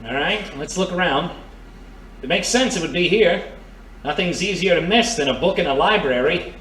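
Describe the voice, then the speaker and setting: Roguish thief played by a man, lively and lighter-pitched. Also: moderate pace, natural voice. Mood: wistful, casual. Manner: casual, slangy, teasing. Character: roguish, easygoing male thief with a soft spot. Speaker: voice actor in audio drama. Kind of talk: audio drama acting. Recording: roomy, distant mic, faint noise.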